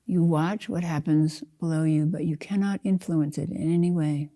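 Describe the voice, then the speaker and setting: An older woman guides a meditation in a low, very soft-edged and dark voice. She is calm and measured, setting out short phrases one by one without hurry. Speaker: Zen teacher leading a guided meditation.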